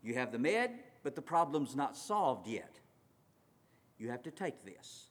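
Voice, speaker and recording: A man preaching a sermon, swinging between loud urgent passages and quiet slow ones, drawing out words for emphasis. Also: mid-range voice, very animated. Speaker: pastor. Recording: long reverb of a large hall.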